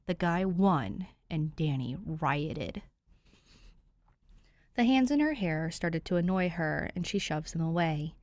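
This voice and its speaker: A woman reading fan fiction aloud as a podfic narrator, in an even storytelling manner on a close home mic. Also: low voice, slow, no echo. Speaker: podfic reader.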